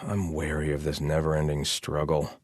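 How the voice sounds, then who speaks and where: An actor playing a haughty, zealous soldier of a desert kingdom in a video game, clipped and contemptuous toward outsiders. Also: male voice, very deep voice, completely flat delivery, normal speed, no echo, studio quality. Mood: grave, weary. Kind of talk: game combat barks and ambient character lines.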